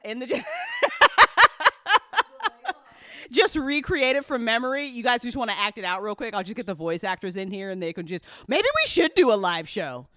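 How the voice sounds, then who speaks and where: A woman hosting a live-stream listen party, chatting casually and excitably with her audience, giggly and quick to swear. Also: fairly high pitch, no room sound. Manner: hype-building, talks directly to chat. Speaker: host of a podcast listen party.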